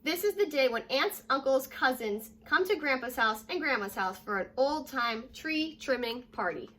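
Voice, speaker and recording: A young American woman reading a children's story aloud like a teacher, addressing young listeners directly in a lively way. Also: high-pitched, moderate pace, slight background noise. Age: young adult. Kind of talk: children's read-aloud with short asides. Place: living room at home, indoors.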